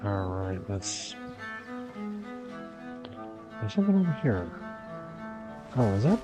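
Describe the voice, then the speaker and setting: A man's voice: lowish voice, big pitch swings, slow pace. A man giving casual let's play commentary and reading game dialogue choices aloud over game music. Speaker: gaming video creator.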